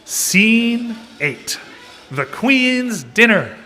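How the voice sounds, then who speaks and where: Children's puppet show narrator, male, lively swoops, slow deliberate pacing. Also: very high voice. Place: social hall, live broadcast. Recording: faint noise in social hall.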